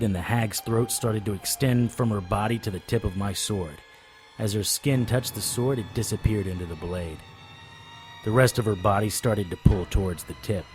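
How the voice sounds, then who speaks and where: A man's voice: fairly low pitch, lightly acted. A man playing a tough monster hunter in a scripted audio drama, terse, hard-boiled and defiant. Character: tough, defiant male monster hunter, narrating his own tale. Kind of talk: scripted first-person audio drama narration and acting.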